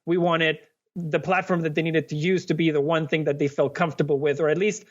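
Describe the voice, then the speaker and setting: A man from Venezuela, a company cofounder, answering interview questions earnestly, often pausing with fillers and restarting sentences. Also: a little high, little pitch movement, lo-fi sound.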